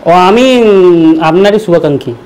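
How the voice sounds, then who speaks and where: A man's voice: high-pitched, moderate pace, a little mellow, slight background noise. A man with a Bengali accent making an improvised prank phone call, talking in a pushy, insistent way. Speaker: prank caller.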